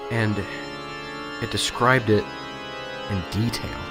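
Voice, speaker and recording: A man's voice: fairly low pitch, steady tone, slow. A man playing a nervous, unsure professor in a radio drama, stammering and hesitant. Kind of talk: amateur radio drama acting. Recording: radio drama with music underneath.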